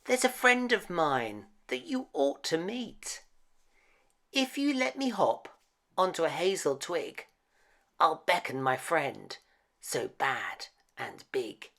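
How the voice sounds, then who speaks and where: A man's voice: fairly high pitch, very animated, slow pace, gravelly, fairly bright tone, pitched above the performer's own voice, heavily acted. A man voicing a small, clever storybook mouse, pleading and crafty in a coaxing manner.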